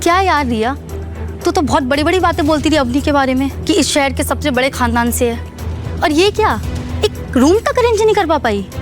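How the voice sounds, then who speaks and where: A woman's voice: high-pitched, expressive, normal speed, over music. A woman playing a mocking, snobbish young woman in an audio drama, with a taunting, sneering manner. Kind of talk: Hindi audio drama acting.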